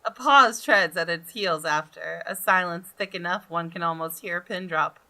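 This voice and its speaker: A woman hosts a game playthrough in a slightly low, bright voice. She narrates a visual novel with expression. She also drops in casual commentary of her own. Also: normal speed. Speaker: gaming video host.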